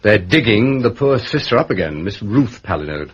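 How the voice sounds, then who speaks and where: An actor with an upper-class English accent playing a mild, wry gentleman detective in a radio drama, understated and polite. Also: fairly low pitch, big pitch swings, normal speed, slightly rough, highs cut off, exaggerated voice. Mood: wry, intrigued.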